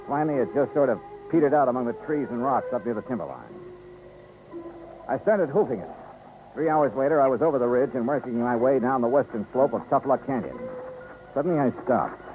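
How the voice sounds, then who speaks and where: A man's voice: mid-range voice, close to an everyday voice. A man acting as a dogged American insurance investigator in a 1950s radio drama, narrating his case in a clipped, dry, probing manner. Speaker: lead actor and narrator. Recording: tinny vintage radio recording.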